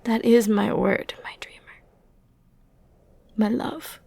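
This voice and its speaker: A woman playing an ancient, flirty and dangerous banished villainess in an intimate ASMR roleplay, breathy and often near a whisper, with tender, brooding, dramatic pauses. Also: mid-range voice, fairly even intonation, mellow, voice pushed down. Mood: devoted, soft.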